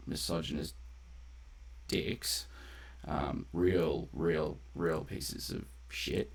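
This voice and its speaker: Very deep-voiced, gravelly man commentating. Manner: rambling, conversational, swears casually. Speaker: game streamer. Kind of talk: casual live gameplay commentary. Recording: faint background noise.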